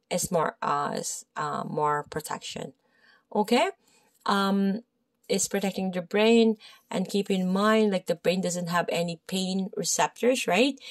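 A woman's voice: lowish voice, dry recording. A woman with a Filipino accent walking through an anatomy textbook page in a casual study lesson, hesitant, with many fillers and self-corrections. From Philippines. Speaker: massage therapy tutor. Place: indoors at a desk. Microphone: phone camera overhead, speaker off screen.

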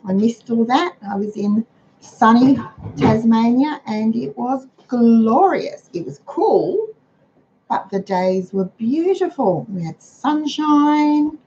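Hoarse older Australian woman chatting. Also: animated, slow pace, mellow. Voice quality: chatty, homely manner. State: hoarse, croaky voice. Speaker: host of a frugal living livestream. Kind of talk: casual livestream talk. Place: home dining room. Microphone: webcam on a computer. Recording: dull low-fi webcam sound with faint noise.

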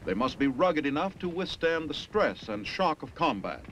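An American man reading formal, emphatic scripted narration in the manner of a 1950s military documentary, with measured phrasing. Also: fairly high pitch, sing-song, fairly bright tone, slight background noise. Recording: dull vintage film soundtrack with music.

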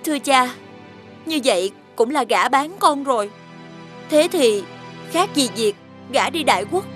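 A headstrong young cartoon princess with a high voice. She is earnest and protesting, with music clearly audible behind her. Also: lively intonation, measured pace, fairly bright tone. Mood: defiant, pleading. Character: headstrong young princess, dutiful yet defiant daughter. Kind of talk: animated fairy tale acting.

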